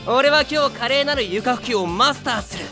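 A man playing a brash, intense, theatrically proud high school boy in an anime audio drama, grandiose and earnest. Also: expressive, moderate pace, music in the background.

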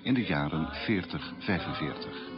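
Male announcer, low voice, scripted credits, music behind, dull radio recording. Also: expressive, unhurried. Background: sung music with guitar.

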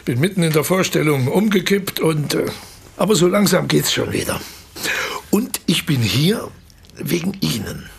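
An actor playing an evasive, talkative older former stage actor in a radio play, hesitant and theatrical, with a husky voice. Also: male voice, on the high side, animated, moderate pace, a little hiss or hum. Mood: halting, polite. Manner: hesitant, self-correcting, theatrical. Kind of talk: German radio drama dialogue.

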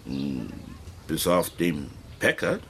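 A man's voice: very deep voice, sing-song, slow pace, vocal fry, fairly bright tone, a little hiss or hum, exaggerated voice. An actor playing a wary, evasive working-class man with folksy, ungrammatical speech in a German radio drama. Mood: wary, stubborn.

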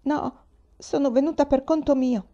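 A woman's voice: high voice, steady tone, moderate pace, warm tone, lo-fi sound, voice pushed up. A woman voicing a frightened but earnest young girl in an audiobook, with a faint, hesitant, meek delivery. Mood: timid, frightened. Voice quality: faint, thin. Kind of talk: audiobook character voice.

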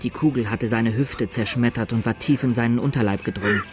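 A sober chronicler played by a man tells a violent tale in a radio drama. His mid-pitched voice is measured and literary. Music runs clearly behind it. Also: lightly acted. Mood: grave, measured. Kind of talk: scripted third-person radio drama narration.

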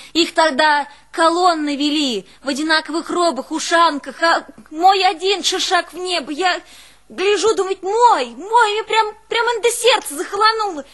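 A devoted, long-suffering village woman speaks. Her pace is very slow, and her delivery is plaintive and folksy, like a storyteller in a one-woman stage drama. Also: high voice, fairly bright tone. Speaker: actress in a one-woman show. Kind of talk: theatrical monologue with drawn-out folk singing.

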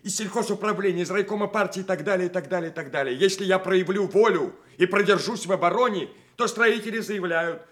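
A man's voice: high voice, quick pace, few pauses, a bit bright. A man acting a resigned, put-upon state farm director who explains himself, in a Soviet radio play. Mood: resigned, defensive.

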